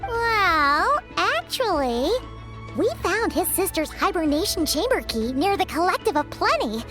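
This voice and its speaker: A woman voicing a small, excitable fairy companion in a video game, childlike, perky and chatty. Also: a little slow, full character voice. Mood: eager, chatty. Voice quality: childlike, squeaky. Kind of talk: English video game voice acting. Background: background music.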